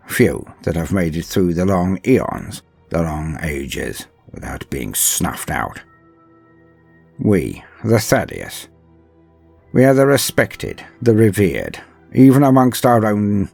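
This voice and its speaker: Grave, proud inquisitor played by a man for audio drama: deep, solemn, theatrical delivery with sweeping inflection and a husky edge. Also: no room sound, full character voice. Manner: solemn, theatrical, with deliberate pauses. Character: grave, proud inquisitor, last of a revered line, haunted. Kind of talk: dramatic first-person narration in audio drama.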